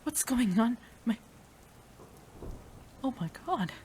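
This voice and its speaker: A woman's voice: fairly high pitch, expressive, slow pace, a little mellow, slight background noise. A woman playing a devoted, desperate female mad scientist in an intimate, emotional audio roleplay, addressing a beloved. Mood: astonished, elated. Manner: emotional, breathy sighs, addresses a beloved tenderly.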